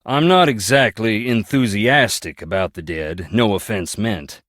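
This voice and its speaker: A man's voice: on the low side, measured pace, fairly bright tone, dry recording, studio quality. An actor playing a brooding, plain-spoken man from a rich, troubled old family, talking in a folksy, wry and bitter way in a video game. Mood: guarded, bitter. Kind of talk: video game character dialogue.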